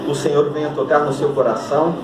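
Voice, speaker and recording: A fairly high-voiced man pastor speaks to his congregation, moving between friendly jokes and emphatic scripture reading. He is heard from a distance in an echoey church hall. Room noise from the gathered congregation fills the background. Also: steady tone, fast. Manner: warm joking thanks, then emphatic scripture reading. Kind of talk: sermon. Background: congregation ambience.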